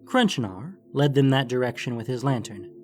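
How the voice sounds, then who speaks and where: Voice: mid-range voice, expressive, normal speed. A narrator reading a scripted fantasy story for an audiobook.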